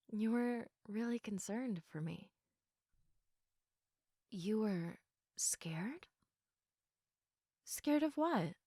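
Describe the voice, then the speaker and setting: Sleepy, affectionate girlfriend played by a woman for bedtime ASMR roleplay; soft, giggly, reassuring, very unhurried delivery, dry intimate sound. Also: mid-range voice, dry recording. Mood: fond, animated, then tender, reassuring. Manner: soft, unhurried, often giggly, dropping into whispers. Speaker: audio roleplay performer.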